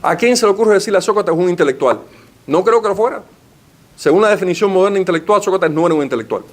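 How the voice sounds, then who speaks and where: A man's voice: sits high, expressive, quick pace. A man giving a formal talk as a panelist in a library meeting room. He builds his points through rhetorical questions and lists. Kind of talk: formal lecture.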